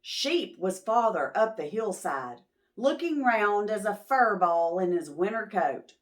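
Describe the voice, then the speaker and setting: A woman reading a picture book expressively to preschoolers, pausing to question and explain. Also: mid-range voice, sing-song. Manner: pauses to question and explain to young listeners. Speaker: children's storytime host.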